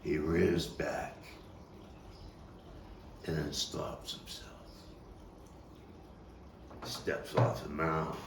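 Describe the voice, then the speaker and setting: A man performing a theatrical stage monologue as a streetwise, nostalgic Brooklyn baseball fan, telling it with deliberate pauses and big swings in volume. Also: rumbling low, little pitch movement, creaky, exaggerated voice. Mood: dramatic storytelling. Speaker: actor and playwright performing his own monologue. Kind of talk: dramatic stage monologue at a table read. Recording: roomy, distant mic with faint noise.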